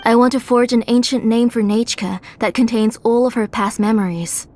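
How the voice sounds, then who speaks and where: An actress playing a gentle, devoted and sorrowful mother in a video game, speaking in a measured, earnest way. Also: fairly high pitch, steady tone, lightly acted. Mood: resolute. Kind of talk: dramatic video game voice acting.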